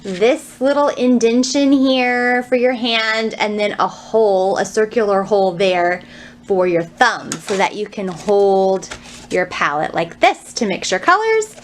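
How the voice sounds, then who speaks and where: A woman's voice: medium pitch, slight background noise. A woman presenting a children's storytime, explaining things simply, asking young listeners questions and reading aloud.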